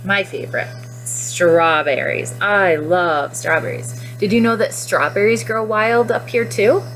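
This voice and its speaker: A woman teacher doing a guided read-aloud for young children, pausing to explain and to question her listeners directly. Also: mid-range voice, fairly bright tone, soft background music, low-quality recording.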